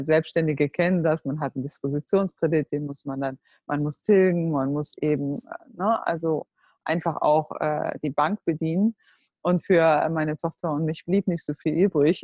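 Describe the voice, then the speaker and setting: A woman in her forties from Germany telling her life story as a guest, earnest and halting, often searching for words. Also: sits low, darkish tone, no echo. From Germany (Cologne). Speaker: entrepreneur, guest. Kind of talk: personal life story in a group talk. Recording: dull, dry close recording.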